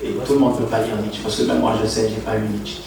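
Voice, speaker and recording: A man making relaxed, self-mocking remarks. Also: on the low side, fast, vocal fry. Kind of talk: casual remarks. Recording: echoey hall, distant mic, crowd.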